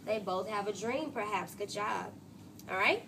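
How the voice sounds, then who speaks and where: A woman teacher reading a storybook aloud expressively to a class, pausing to question pupils and echo their answers. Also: medium pitch, expressive, creaky, pushed and bright. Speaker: third grade teacher. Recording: noisy classroom ambience.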